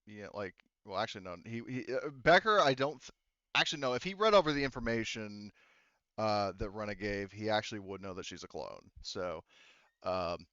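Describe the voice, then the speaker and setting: A man running a tabletop roleplaying game as game master, narrating casually in a halting, self-correcting way. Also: on the low side, expressive, sharp tone, smooth, no echo, dull audio.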